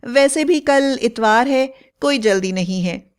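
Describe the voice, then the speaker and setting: Woman voicing a high, sugary possessed wife. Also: measured pace. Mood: cheerful, insistent. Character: possessed young wife hiding a witch, sugary and eager.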